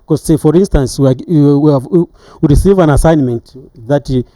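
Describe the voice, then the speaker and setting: A middle-aged man with a Zambian accent recounting his experiences as a retired referee in an interview, halting and repeating words as he goes. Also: a little high, mellow, no echo.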